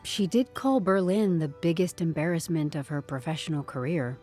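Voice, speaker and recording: Wry nervous office lady playing detective, lowish voice, music underneath, podcast drama. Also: moderate pace, darkish tone. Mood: wry, probing. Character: uneasy, wry female HR staffer turned amateur sleuth. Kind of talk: podcast audio drama acting.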